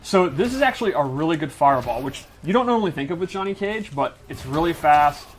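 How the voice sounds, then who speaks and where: A man's voice: fairly high pitch, expressive, quick pace, slight background noise. A man who works as a game developer, giving a casual, enthusiastic walkthrough of gameplay mechanics. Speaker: game developer demonstrating a fighting game character. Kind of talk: casual explanatory gameplay commentary.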